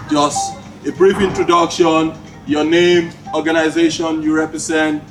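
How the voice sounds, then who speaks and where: A man hosts a community evening. His voice sits a little high, and he stops often with fillers as he speaks. Loud music runs underneath. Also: flat delivery, measured pace, far from the mic. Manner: hesitant, many fillers. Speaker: event host. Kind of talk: hosting a community event. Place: community hall event.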